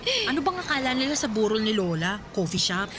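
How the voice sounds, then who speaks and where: Lively high-voiced teasing young woman. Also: a little fast. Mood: cheeky. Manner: playful, exclamatory, teasing. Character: teasing, cheeky young woman, the heroine's cousin. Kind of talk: Tagalog radio drama acting.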